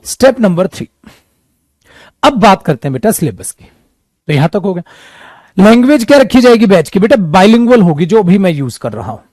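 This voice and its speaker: A man teaching an English coaching class for competitive exams, talking to students as "beta" and "mere dost" and acting out their doubts in a lively way. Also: high voice, fairly quick, pauses often, no room sound, studio quality.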